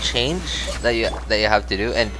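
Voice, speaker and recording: A man's voice: on the low side, moderate pace, rough recording. A young man from the Philippines, chatting casually and apologetically with viewers as a game livestreamer, rambling and self-deprecating.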